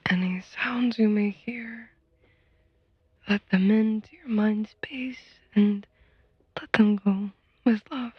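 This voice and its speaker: A woman leading a guided meditation in a soft, breathy whisper, speaking gently and soothingly with unhurried pauses. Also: medium pitch, fairly even intonation, no echo, highs cut off.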